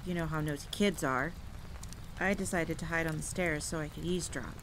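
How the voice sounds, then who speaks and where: A woman's voice: on the low side, noisy background, lightly acted. A woman playing a vampire hunter who recounts her childhood in a conversational, scripted audio drama. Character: vampire hunter woman telling a painful childhood story by campfire. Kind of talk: scripted first-person storytelling, audio roleplay. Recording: clear rain and thunder ambience behind voice. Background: rain and rolling thunder.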